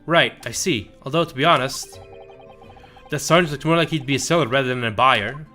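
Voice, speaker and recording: A man's voice: mid-range voice, fairly quick, pushed and bright, faint music behind. A man streaming a game, reading visual novel dialogue aloud.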